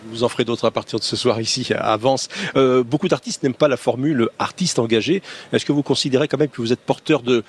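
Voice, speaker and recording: A man's voice: mid-range voice, fast talker, breathy edge. A male radio host interviewing musicians, asking admiring, enthusiastic questions.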